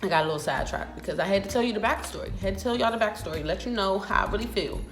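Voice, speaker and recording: A young American woman vlogs in a casual storytelling style, with a mid-pitched voice. She is sassy and emphatic, driving her points home with animated delivery. The room adds noticeable echo, and music plays underneath. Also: rapid-fire. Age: 20s. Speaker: vlogger. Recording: roomy echo with music behind.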